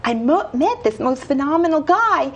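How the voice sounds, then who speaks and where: A woman's voice: high-pitched, big pitch swings, normal speed, a little hiss or hum. A woman hosting a local talk show, interviewing her guest with an eager, gushing manner. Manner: enthusiastic, gushing. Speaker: host. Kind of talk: casual interview chat.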